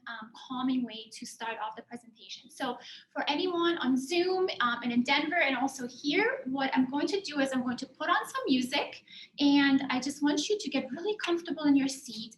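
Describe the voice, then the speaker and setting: A woman with a mixed Bosnian-German accent, a coach giving a calm, reflective workshop presentation in a classroom. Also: high-pitched, a little hiss or hum. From Bosnia, raised in Germany. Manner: calm, reflective, many fillers. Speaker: career and life coach presenting. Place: classroom in a coworking space. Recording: echoey room.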